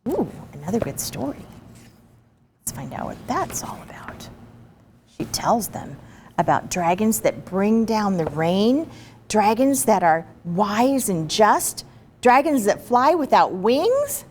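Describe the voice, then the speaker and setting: Animated woman reading a picture book aloud. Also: medium pitch, takes it slowly, slight background noise. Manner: expressive, chatty, encouraging. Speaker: story reader.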